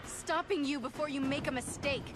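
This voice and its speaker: A woman's voice: sits high, normal speed, close to an everyday voice. A young woman playing an earnest, compassionate and defiant superheroine in a video game, with a pleading manner. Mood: urgent, firm. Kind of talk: cinematic video game acting. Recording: game music behind voice.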